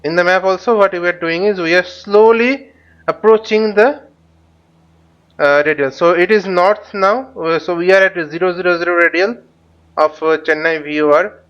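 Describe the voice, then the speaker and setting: A man giving live flight simulator tutorial commentary as an instructor, haltingly thinking aloud as he demonstrates. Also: high voice, fairly bright tone, clean tone. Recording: dull, lo-fi microphone sound.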